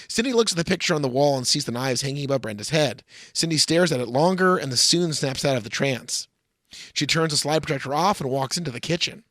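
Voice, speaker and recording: A man's voice: fairly high pitch, a bit bright, no echo. A man co-hosting a comedy podcast, bantering and giving dramatic readings of a screenplay in a bossy, sarcastic, mock-earnest way. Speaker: podcast co-host.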